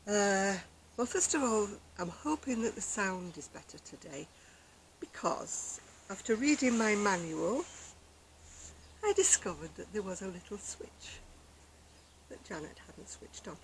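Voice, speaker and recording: Soft-spoken older woman chatting outdoors. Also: mid-range voice, sing-song, measured pace, slight background noise, low-quality recording. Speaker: crochet vlogger. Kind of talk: casual chat to camera. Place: outdoor deck in the sunshine. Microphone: external camera microphone.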